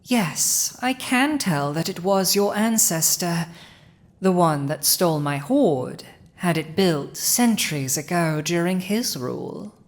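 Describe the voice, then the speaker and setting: Woman playing a very slow-spoken, haughty villainous dragon. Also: lowish voice, lively intonation. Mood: possessive, proud. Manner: slow, imperious, teasing, with lingering pauses. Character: villainous dragon usurper, haughty, possessive, gloating over a throne. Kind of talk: dramatic roleplay audio addressed to the listener.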